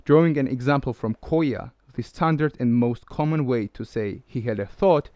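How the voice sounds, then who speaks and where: A man's voice: mid-range voice, a little mellow, dry recording. Man reading a lecture. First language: Georgian. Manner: measured, lecturing. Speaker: video essay narrator. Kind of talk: scripted narration.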